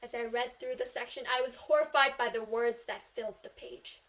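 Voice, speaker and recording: A woman's voice: a little high, steady tone, fairly quick, fairly bright tone. A Korean teenage girl, a native Korean speaker, delivering an earnest memorized speech in English at a student contest, stressing key phrases. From South Korea. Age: teens.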